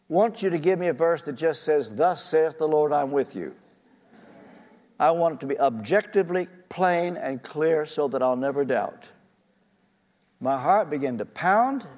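A man's voice: fairly high pitch, a little mellow. An older man preaching a sermon, telling a personal story in an earnest, conversational way with frequent fillers. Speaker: pastor. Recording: reverberant church hall.

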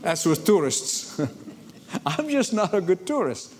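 A man from Egypt, preaching a sermon to a congregation in lively storytelling, often repeating words as he starts a sentence. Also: high-pitched, takes it slowly, a little hiss or hum. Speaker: pastor. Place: church before a congregation.

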